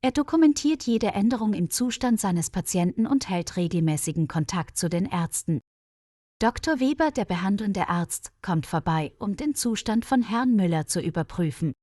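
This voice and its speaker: A woman reading a scripted story for language learners, articulating clearly. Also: mid-range voice, lively intonation, moderate pace, mellow, no room sound, clean studio sound. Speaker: narrator.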